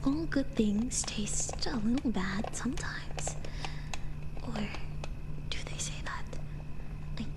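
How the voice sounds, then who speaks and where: A woman voicing a gentle, mysterious forest witch healer in a soft, breathy, hesitant whisper for an ASMR roleplay. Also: a little high, lively intonation. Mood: gently reassuring. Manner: slow, hesitant, soothing murmur.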